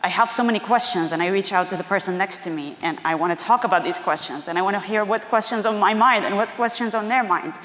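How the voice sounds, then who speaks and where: A woman's voice: medium pitch, quick pace, few pauses, fairly bright tone. A woman from Syria with a Syrian Arabic accent, a theater director and filmmaker telling personal stories in a chatty way, with many fillers. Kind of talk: personal storytelling talk. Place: museum auditorium. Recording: roomy echo of a large hall.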